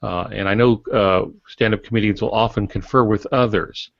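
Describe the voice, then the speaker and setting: Male co-host, low pitch, explanatory conversational read, dry close sound with muffled lo-fi edge. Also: fairly even intonation, normal speed, vocal fry, no room sound. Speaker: podcast co-host. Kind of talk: casual podcast discussion. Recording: dull, dry lo-fi podcast audio.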